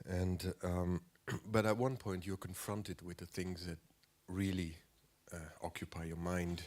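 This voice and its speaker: A man in his forties with a cold, giving a halting lecture as an artist, clearing his throat often and filling pauses. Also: sits low, darkish tone. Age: 40s. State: has a cold.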